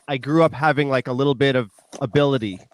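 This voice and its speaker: A man's voice: medium pitch, quick pace, slight background noise. A man chatting casually as an interview guest, talking in a rambling, joking way. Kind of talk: casual chat.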